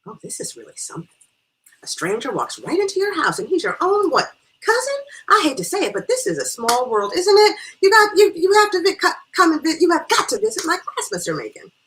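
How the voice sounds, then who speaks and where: A woman voicing a chatty, excitable, nosy female schoolteacher from a small town in a storybook reading. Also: lowish voice, normal speed, a little hiss or hum, poor audio. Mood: excited. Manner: gushing, gossipy, stammers when flustered.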